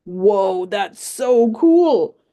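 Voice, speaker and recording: A woman voicing a curious, excited six-year-old girl in a read-aloud story, asking question after question. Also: extremely slow. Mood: eager. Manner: high-pitched, eager questioning.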